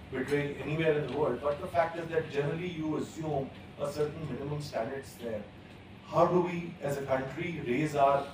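A man, speaking as a panelist at a conference in a measured way, with many pauses and fillers. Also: mid-range voice, background noise. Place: large conference hall, at a panel table. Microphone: table gooseneck mic. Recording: echoey hall, distant from the mic.

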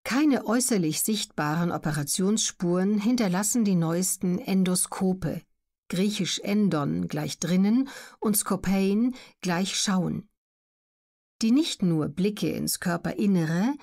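A woman narrating scripted educational documentary material as an audiobook reader, measured and carefully articulated. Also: on the low side, darkish tone.

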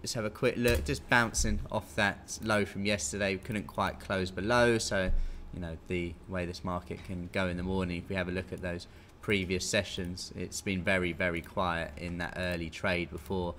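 A man's voice: sits low, little pitch movement, normal speed, hardly any pauses, a bit bright. A British man in his thirties giving an informal, conversational live market briefing as a technical analyst. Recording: clear background noise.

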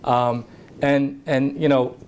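A man's voice: mid-range voice, level tone, takes it slowly, darkish tone, clean tone. A man speaking as a hotel industry panelist, talking with frequent stammered restarts. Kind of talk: conference panel discussion.

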